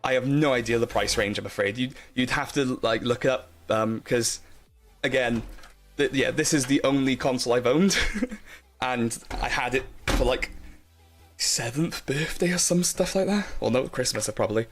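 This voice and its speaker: A man's voice: mid-range voice, normal speed. Young guy rambling on stream, loads of ums and likes, faint music under him. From Wales. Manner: rambling, chatty, lots of fillers and restarts, laughs often. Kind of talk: casual live stream chat.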